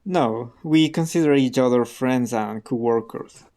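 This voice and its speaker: An actor playing a guarded, defiant radical under questioning in a radio drama, terse and defensive. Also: male voice, mid-range voice, a little slow, warm tone, lightly acted. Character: guarded, defiant male radical being interrogated.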